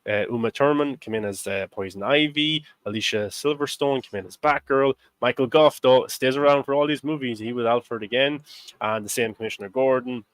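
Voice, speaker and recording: A man's voice: medium pitch, fairly quick, no room sound. A young man in his twenties hosting a casual film discussion, rambling through facts with lots of fillers and little laughs. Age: 20s. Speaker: host.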